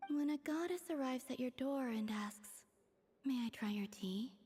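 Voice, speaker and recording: A woman voicing a gentle, wistful young moon goddess in an anime game, soft and breathy, with hesitant, formal phrasing. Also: sits high, normal speed, over music, natural voice. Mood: wistful, hushed.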